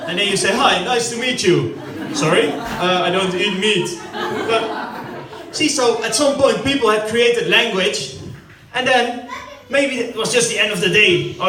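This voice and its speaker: A man from the Netherlands with a Dutch accent, doing chatty, rambling stand-up at a family party and laughing often. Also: high voice. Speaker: stand-up comedian. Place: former football club canteen. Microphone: corded handheld mic. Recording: echoey hall from a distance with crowd.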